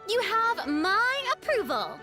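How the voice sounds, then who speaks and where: Very high, theatrical young showwoman. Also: big pitch swings, slow, heavily acted. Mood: proud, cheerful. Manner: theatrical, flamboyant. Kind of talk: anime game voice acting. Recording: music behind the voice.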